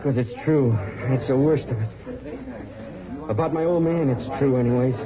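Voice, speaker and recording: An actor playing a bitter, hot-tempered young American cowboy in an old radio western, talking with sullen resentment. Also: male voice, mid-range voice, moderate pace, lightly acted. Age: young adult. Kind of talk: 1950s radio western acting. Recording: muffled old radio recording, crowd noise.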